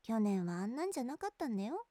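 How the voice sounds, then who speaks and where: 女性声優が、のんびりしていて人をからかうのが好きな女子高生を演じている。. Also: female voice, fairly high pitch, big pitch swings, very fast, warm tone, no room sound. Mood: easygoing. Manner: playful, teasing. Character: teasing, easygoing high school girl. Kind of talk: voice drama acting.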